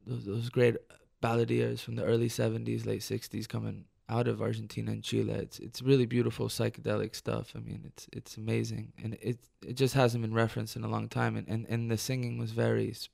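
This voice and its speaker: A man from Detroit, a musician guest on live radio, talking in a reflective, halting way with many restarts. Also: lowish voice, monotone, gravelly, darkish tone. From Detroit, United States. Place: radio studio.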